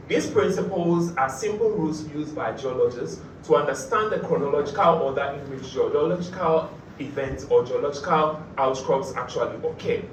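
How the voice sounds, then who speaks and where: A man, a graduate student, presenting his thesis in an earnest, measured way at a competition. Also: a little high, roomy sound, recorded from a distance, slight background noise, rough recording. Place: auditorium.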